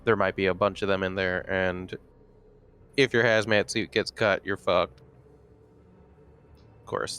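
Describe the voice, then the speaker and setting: A man's voice: low-pitched, a bit bright. A man playing a tabletop roleplaying game, thinking aloud in a casual, hesitant way. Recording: faint background noise.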